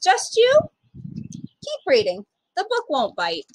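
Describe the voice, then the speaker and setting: A woman's voice: very high voice, wildly swooping pitch, takes it slowly, dry recording, poor audio. A woman reading aloud to young children as a storytime reader, speaking to them directly in simple, child-directed phrasing.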